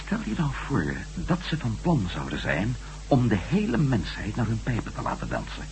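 An actor playing a bold, earnest ex-astronaut adventurer in a Dutch science-fiction radio drama. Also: male voice, lowish voice, wildly swooping pitch, moderate pace. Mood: urgent, grave. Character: bold male ex-astronaut reporter turned adventurer. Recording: noisy, humming old radio recording.

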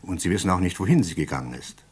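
An actor playing a courteous but persistent, suspicious British police inspector in a radio play, speaking formally and with polite insistence. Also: male voice, low-pitched, lively intonation, fast talker, husky. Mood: probing, formal. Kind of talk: German radio play dialogue.

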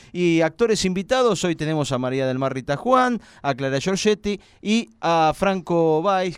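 A man's voice: on the high side, clean tone, no room sound, studio quality. A man with an Argentine accent hosting a live radio show in an informal, chatty way. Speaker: radio host.

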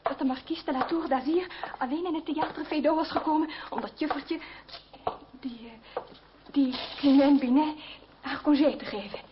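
Woman playing an impulsive young noblewoman, high-pitched. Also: normal speed, natural voice. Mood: earnest. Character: young noblewoman, impulsive, tender, pleading niece. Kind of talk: Dutch radio play acting. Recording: dull, very noisy old radio recording.

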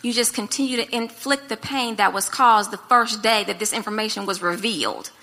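A woman's voice: mid-range voice, a little fast, gravelly, pushed and bright. An American woman giving earnest, instructive advice as a speaker at a marriage conference, in a conversational preaching style. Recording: reverberant hall sound.

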